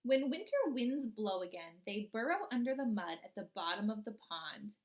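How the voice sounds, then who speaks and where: A woman reading a children's picture book aloud like a teacher, expressively, often pausing to pose guiding questions to young listeners. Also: medium pitch, animated, dull audio.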